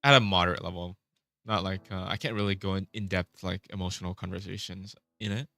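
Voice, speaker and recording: Male vlogger, very deep voice, loose rambling delivery, dry recording. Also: fairly even intonation, quick pace, gravelly, bright, forceful tone, dry recording. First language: Vietnamese. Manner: rambling, self-interrupting, swears casually. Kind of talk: casual rambling chat.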